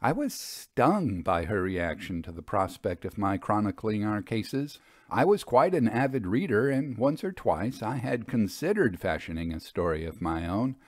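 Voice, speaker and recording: A man narrating a scripted story in a measured, expressive, bookish manner. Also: lowish voice, no room sound, clean studio sound.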